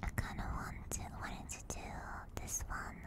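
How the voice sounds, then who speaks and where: A woman streaming ASMR in a soft, breathy whisper, gently and soothingly guiding and chatting close to a binaural microphone. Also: normal speed. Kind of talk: whispered ASMR guided meditation and chat. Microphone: close binaural ear microphone.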